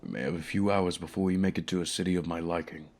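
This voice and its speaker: A man's voice: deep voice, fairly even intonation, quick pace, a little mellow. A man voicing a haughty, commanding dragon in a fantasy ASMR roleplay, speaking formally with pompous condescension. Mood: measured, conciliatory. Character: haughty male dragon, commanding keeper of a human pet.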